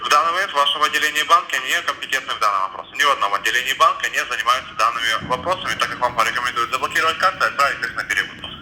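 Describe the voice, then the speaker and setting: A man's voice: medium pitch, very fast, hardly any pauses, pushed and bright. A man talking like a scripted call-centre agent on a phone call, formal, officious and insistent, reciting stock phrases. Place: telephone line. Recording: echoey, noisy recorded telephone call.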